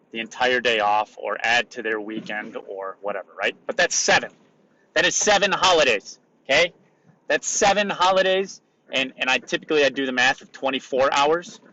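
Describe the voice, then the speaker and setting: A man hosting a solo motivational podcast, raspy today, talking in an emphatic, repetitive coaching way. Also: medium pitch, pushed and bright, lo-fi sound. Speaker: podcast host.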